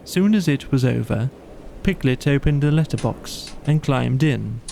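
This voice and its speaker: A man's voice: mid-range voice, animated, measured pace, creaky, a little mellow, slight background noise. A man narrating a children's bedtime story as an audiobook reader.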